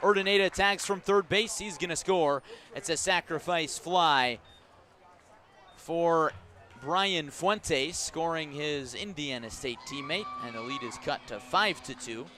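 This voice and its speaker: A man doing live radio play-by-play of a baseball game, with a measured call that rises sharply on big plays. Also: on the high side, wildly swooping pitch, bright, forceful tone.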